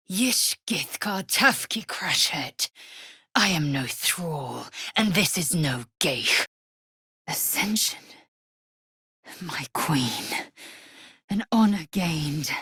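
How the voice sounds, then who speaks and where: Game role: imperious female alien warrior, deep for a woman, deliberate pace, haughty, clipped and commanding. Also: sing-song, pushed and bright, husky, dry recording, clean studio sound, heavily acted. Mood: fierce, intense, then solemn, hushed. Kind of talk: video game character voice lines.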